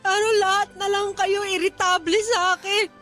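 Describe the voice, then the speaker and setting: A woman's voice: extremely high pitch, flat delivery, moderate pace, bright, forceful tone, faint music behind. A woman acting in a Tagalog radio drama as a despairing, fragile young mother, wounded, tearful and full of self-pity. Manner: tearful, wounded, emotionally intense.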